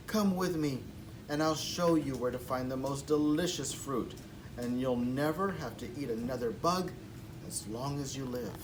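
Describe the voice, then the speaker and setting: A man's voice: medium pitch, normal speed, distant mic, background noise, close to an everyday voice. A man voicing a tender, reassuring mother bat from a children's storybook.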